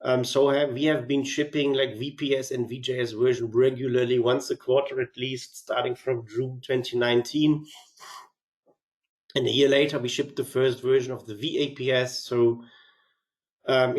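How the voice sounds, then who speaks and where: Male webinar host from Germany, voice strained by a cold, measured delivery, lots of ums and restarts. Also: mid-range voice, a little mellow. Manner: measured, with frequent fillers and restarts. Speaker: webinar host, company CEO. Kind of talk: software product webinar presentation.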